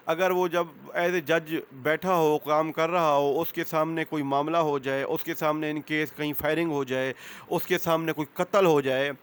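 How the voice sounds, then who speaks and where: A man's voice: on the high side, clear, resonant tone. A man with a Pakistani accent giving an explanatory lecture as a lawyer, mixing in English legal terms. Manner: switches between Urdu and English legal terms.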